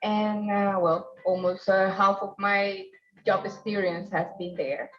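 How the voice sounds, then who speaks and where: Woman, interview guest, mid pitch, dull lo-fi call sound. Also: flat delivery, soft background music. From Venezuela. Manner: eager, pausing with fillers. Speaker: guest, mechanical engineer. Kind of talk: interview answers. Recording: dull online call audio.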